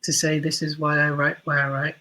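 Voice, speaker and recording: A man's voice: fairly high pitch, completely flat delivery, brisk, pushed and bright. A man answering casually as a podcast guest, thinking aloud in a hesitant, thoughtful way. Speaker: podcast guest, audio drama writer.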